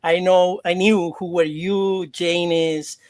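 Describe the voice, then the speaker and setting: A man in his forties from Mexico with a Mexican Spanish accent, a native Spanish speaker talking as an interview guest over a video call. He talks haltingly, repeats words and laughs often. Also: sits high, flat delivery, a little mellow. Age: 40s. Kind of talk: casual interview.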